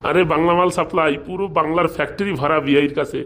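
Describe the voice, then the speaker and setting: A scheming cartoon father , voiced by a man, who talks quickly. He is blustering and wheedling, forever angling for advantage. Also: a little high, fairly even intonation, fairly bright tone. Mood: boastful scheming. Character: scheming, liquor-loving father of a grown son. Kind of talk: comic cartoon dialogue.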